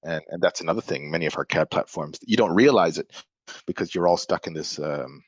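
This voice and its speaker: Low-voiced man explaining software, dry sound. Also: fairly quick, slightly rough, dry recording. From Malaysia. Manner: thoughtful, explanatory, with frequent restarts. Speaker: podcast guest, software developer. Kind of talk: casual interview chat.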